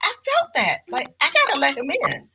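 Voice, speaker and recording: A woman in her fifties hosting a casual video call chat, animated and given to exclaiming. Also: sits high, normal speed, background noise. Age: 50s. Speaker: host.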